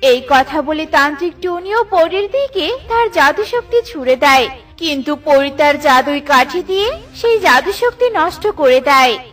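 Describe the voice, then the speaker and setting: High-pitched woman narrating a children's story. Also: animated, unhurried, fairly bright tone, faint music behind. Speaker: cartoon storyteller.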